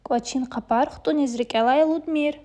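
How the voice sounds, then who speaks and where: Cartoon mother, female actor. High voice, directing manner, family animation dub. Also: normal speed, mellow, natural voice.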